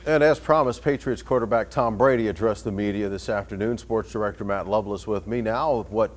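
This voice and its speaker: A man's voice: on the low side, fairly quick. An American man anchoring a newscast, reading stories and trading casual desk banter. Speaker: news anchor.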